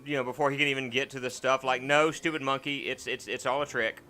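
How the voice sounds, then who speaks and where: Male gaming host, very bright and clear, casual joking rambler. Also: medium pitch, steady tone, quick pace, faint music behind. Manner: rambling, joking, repeats words. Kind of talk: casual let's play commentary.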